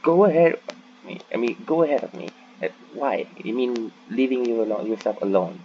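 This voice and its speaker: A man giving casual, halting game playthrough commentary, often repeating phrases for emphasis. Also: medium pitch, expressive, muffled recording, slight background noise. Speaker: gaming video host.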